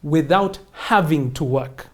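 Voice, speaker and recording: A woman's voice: deep voice, slow. A woman speaks as a finance instructor in conversation. She explains step by step with emphasis, setting up questions and then answering them. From Kenya or wider Africa. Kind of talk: explanatory interview talk.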